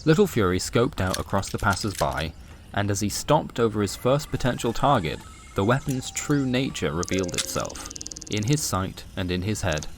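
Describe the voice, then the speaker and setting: A man with a low voice tells a scripted comic sci-fi tale. His delivery is wry and dramatic, with faint noise behind the voice. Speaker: storyteller.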